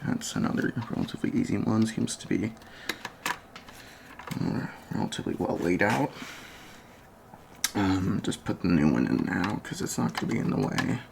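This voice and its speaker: A person doing a soft-spoken ASMR roleplay as a repair technician, murmuring hesitantly to the listener through each step. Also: low voice, expressive, moderate pace, long pauses. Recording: constant fan noise, clicks and chair creaks. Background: computer fan hum, clicking and typing.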